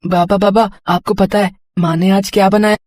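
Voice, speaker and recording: A woman's voice: mid-range voice, flat delivery, moderate pace, darkish tone, dry recording, lightly acted. A woman voicing an eager, innocent little village boy with childlike pleading in a cartoon story. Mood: eager, excited.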